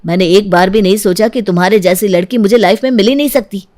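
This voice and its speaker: A woman's voice: medium pitch, quick pace, a little mellow, lightly acted. Distraught young husband performed by a woman, sincere, emotional, for an audio story. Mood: remorseful. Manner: aggrieved, emotional.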